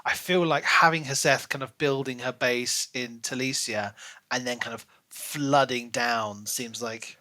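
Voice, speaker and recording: A man with a very bright, forceful voice talks casually as a podcast co-host. He feels his way through his points, thinking aloud in an informal, conversational style. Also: mid-range voice, fairly even intonation, unhurried. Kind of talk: casual discussion.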